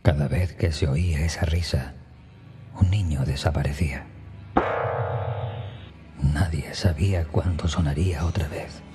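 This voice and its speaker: A man narrating a scripted first-person horror story in a hushed, breathy manner that often nears a whisper, with music behind him. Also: a bass voice, fairly even intonation, unhurried, pauses often. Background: background music.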